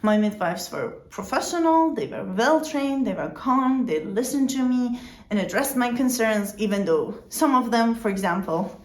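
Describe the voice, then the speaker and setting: A woman's voice: on the high side, expressive, normal speed. Woman with a non-native English accent, roomy sound. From outside the Netherlands. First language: not English. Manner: conversational, with fillers and self-corrections. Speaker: vlogger. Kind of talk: informal explainer vlog.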